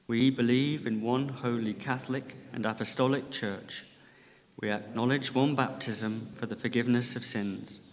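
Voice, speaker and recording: Bloke giving a sermon, easygoing with dry jokes, big echoey church. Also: male voice, medium pitch, fairly even intonation. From Lancashire, England. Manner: gentle, measured, conversational with dry humour. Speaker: preacher. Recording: long reverb of a large church.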